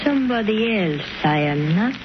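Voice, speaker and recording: An actress playing a proud, sinister dancer villainess in a 1930s radio drama, theatrical and imperious, on an old recording. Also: female voice, mid-range voice, lively intonation, moderate pace, highs cut off, noisy background, close to an everyday voice. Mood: guarded.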